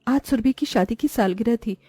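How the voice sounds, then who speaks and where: A woman playing a greedy, envious, sharp-tongued young wife and mother in a Hindi cartoon moral story. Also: on the high side, moderate pace, slightly rough, dry recording. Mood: scolding, resentful.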